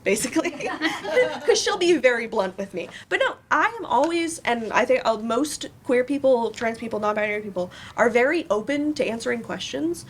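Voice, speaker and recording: A woman's voice: a little high, a bit bright. Hesitant, informal woman on a panel. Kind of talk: casual panel discussion. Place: live panel event in a room. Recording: faint room noise.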